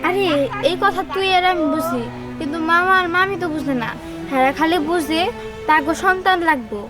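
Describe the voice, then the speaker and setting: A woman's voice: very high-pitched, brisk. A woman playing a sulky, outspoken village girl in a fairy-tale drama. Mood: downcast. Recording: music behind the voice.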